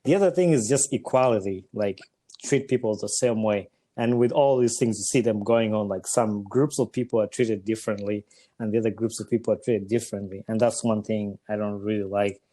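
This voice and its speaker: Man from Uganda, young podcast host, low warm voice, relaxed earnest chat. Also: brisk. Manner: relaxed, earnest, frequent fillers and affirmations. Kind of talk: casual interview.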